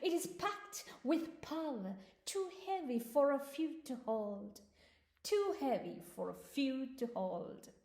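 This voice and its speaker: High-pitched woman reciting poetry slowly, Tamil native speaker. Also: sing-song, a little mellow, echoey room. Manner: deliberate, emphatic phrasing with dramatic pauses and repetition. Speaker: poet presenting at a conference. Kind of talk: spoken-word poetry recital. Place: conference hall, speaking to an audience.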